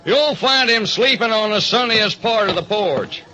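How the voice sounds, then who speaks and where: A man's voice: high-pitched, a little slow, over music, pitched above the performer's own voice. A man voicing a folksy, droll American bartender from the rural West, with a rustic drawl, in a radio drama. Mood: droll, helpful. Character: folksy small-town Western bartender, long settled, droll. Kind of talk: old-time radio detective drama. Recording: dull, band-limited recording.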